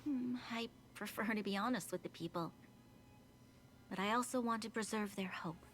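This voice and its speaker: A woman voicing a dignified, earnest young female leader in a video game, speaking in a formal, measured, solemn way. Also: medium pitch, a bit bright, slight background noise, close to an everyday voice. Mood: candid.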